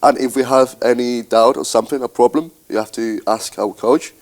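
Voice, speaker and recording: A man's voice: mid-range voice, fairly even intonation, a little fast. An eighteen-year-old man from Spain, a first-year student, who searches for words and restarts his phrases. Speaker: student panelist.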